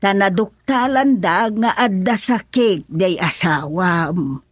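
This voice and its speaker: A woman's voice: medium pitch, takes it slowly, dry recording, clean studio sound. An actress with an Ilocano accent playing a worried mother who pleads tenderly with her grown children. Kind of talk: radio drama acting.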